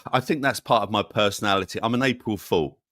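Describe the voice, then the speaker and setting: A man's voice: lowish voice, fast talker, a bit bright, dry recording. Rambling man, dry sound. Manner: rambling, many fillers and restarts; jokey. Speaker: channel host, guest. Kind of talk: casual interview chat.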